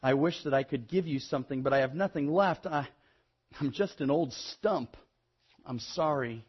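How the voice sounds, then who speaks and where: A man's voice: fairly high pitch, moderate pace, husky, highs cut off. A man voicing a loving, generous female tree, eager and motherly, in a children's storybook read-aloud. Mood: sorry, tender.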